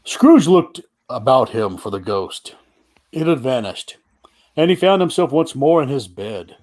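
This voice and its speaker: Slow-paced man narrating a story. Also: mid-range voice, very animated, darkish tone. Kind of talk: dramatic scripted narration.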